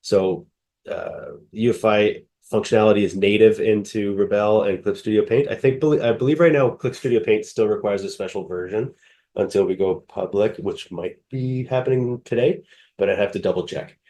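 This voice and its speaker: Male presenter, nasal from a cold, low warm voice, loose and halting delivery. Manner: casual, hesitant, many fillers and restarts. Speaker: software demonstrator. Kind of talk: screen-share software walkthrough.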